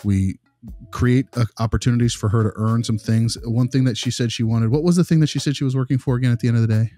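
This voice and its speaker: A man playing a patient behavior consultant who gives feedback in a halting, rambling, reassuring, conversational way. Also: medium pitch, mellow, close to an everyday voice. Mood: encouraging. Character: male behavior consultant, patient, diplomatic, encouraging. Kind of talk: conversational role-play of a consultation.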